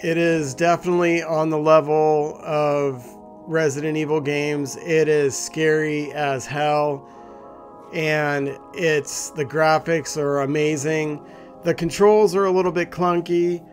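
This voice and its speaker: A man's voice: on the high side, little pitch movement, a little slow, soft background music. A man hosting a gaming channel, chatting casually to viewers in an informal, rambling, conversational way.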